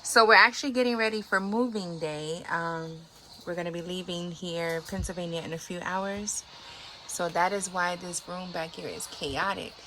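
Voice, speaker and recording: A woman in her thirties, sleepy and a little raspy, chatting casually in a family vlog from inside an RV. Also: fairly low pitch, normal speed. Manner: chatty, relaxed, laughs often. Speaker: family vlogger. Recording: loud background noise.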